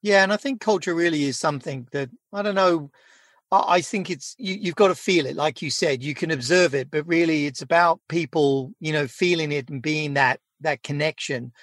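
A man's voice: a little high, normal speed, a bit bright, dry recording, studio quality. A man hosting a podcast interview, conversational and affirming, taking wordy run-ups to his questions. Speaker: podcast host.

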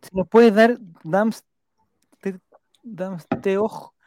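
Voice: mid-range voice, drawn-out words, noisy background. A Chilean-accented live stream host chatting casually and playfully, reading out viewer comments and repeating names in a rambling way. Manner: rambling, repeats names while jotting them down; playful, joking.

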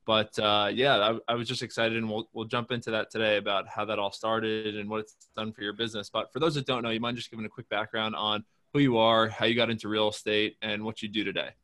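A man's voice: fairly low pitch, level tone, fast talker, few pauses, sharp tone. A man hosting a podcast, giving a tip and then asking questions in an upbeat, conversational way. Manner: enthusiastic, conversational, fillers. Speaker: podcast host. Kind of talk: podcast interviewing and tips.

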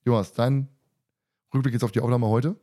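A man's voice: mid-range voice, steady tone, moderate pace, dark tone. A man hosting a podcast, chatting informally and colloquially with his co-hosts. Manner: informal, colloquial, mildly crude. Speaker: podcast host and moderator. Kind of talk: casual podcast chat among co-hosts.

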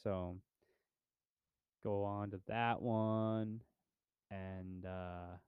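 A man's voice: fairly low pitch, steady tone, very slow, smooth, no room sound, phone-quality sound. A young man co-hosting a podcast, chatting casually about movies in a laid-back, rambling way with lots of fillers. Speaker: podcast co-host. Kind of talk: casual movie review chat.

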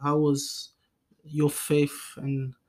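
A man with a somewhat high voice acts as podcast co-host. He speaks as an interviewer, putting questions to a guest. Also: monotone, very slow, a little mellow.

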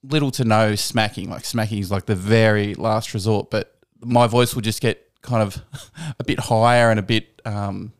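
Young Australian bloke, podcast co-host, easygoing, laughs a lot. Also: male voice, fairly low pitch, steady tone, moderate pace, clean tone. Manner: jokey, laughs often. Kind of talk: casual chat.

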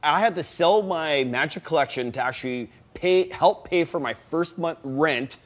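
Male panelist, higher register, animated storyteller, casually profane, relaxed conversational delivery. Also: moderate pace. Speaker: podcast panelist and game organizer. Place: round-table recording with other panelists.

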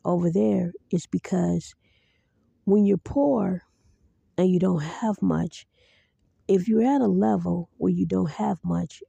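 Podcast host, female, earnest and preachy, very dark gravelly voice, dry close sound. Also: fairly low pitch, no room sound, highs cut off. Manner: earnest, preachy, speaks to listeners directly. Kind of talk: solo commentary.

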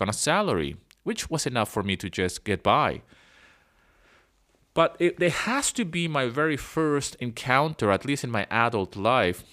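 A man's voice: mid-range voice, fairly bright tone. A man in his forties from Venezuela, hosting a casual solo podcast. He tells his story in a rambling way and often checks in with the listener. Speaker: podcast host.

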